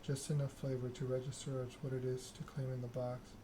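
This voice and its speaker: A man reads narrative game text aloud as ASMR. His delivery is flat and deliberate, broken into short phrases. Clear background noise and clicking sit behind the voice. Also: mid-range voice. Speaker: video game streamer reading a story game aloud.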